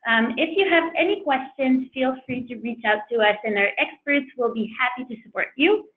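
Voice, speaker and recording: A woman's voice: sits high, normal speed. A woman hosting a product webinar, presenting and reading audience questions in a friendly way with many fillers. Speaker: webinar host. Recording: tinny phone-like line.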